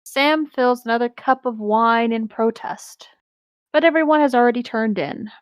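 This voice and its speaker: A woman's voice: a little high, moderate pace, no room sound. A woman narrating a story aloud in a casual, conversational style with some banter. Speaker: co-host reading an online story aloud.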